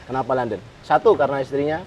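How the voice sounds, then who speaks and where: A man's voice: medium pitch, rapid-fire, a little mellow, a little hiss or hum, poor audio. A man interviewing casually, joking and mixing Javanese with Indonesian. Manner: joking, mixes Javanese and Indonesian, short backchannels.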